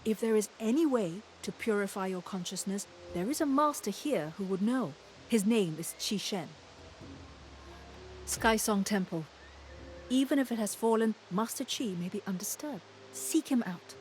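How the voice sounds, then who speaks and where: An actress playing an earnest female ally who gives the hero calm advice in a video game cutscene. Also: mid-range voice, normal speed, a little hiss or hum, lightly acted, low-quality recording.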